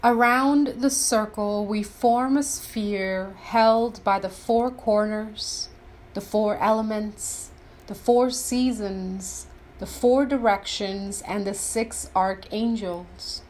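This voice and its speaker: Female meditation guide, very unhurried, reverent and instructional, scripted delivery, light ambient noise in the recording. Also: mid-range voice, steady tone, darkish tone.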